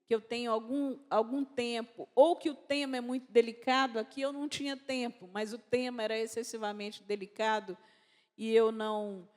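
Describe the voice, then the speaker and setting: A Brazilian woman, an author speaking at a literary festival, talking unscripted in a measured way with pauses and restarts. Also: a little high, steady tone, a little mellow. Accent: Brazilian Portuguese. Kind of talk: unscripted talk answering audience questions. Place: lecture hall at a festival. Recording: long reverb of a large hall.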